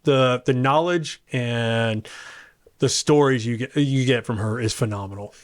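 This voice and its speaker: A man's voice: medium pitch, measured pace. A man co-hosting a real estate podcast, talking with enthusiasm. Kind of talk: casual podcast chat.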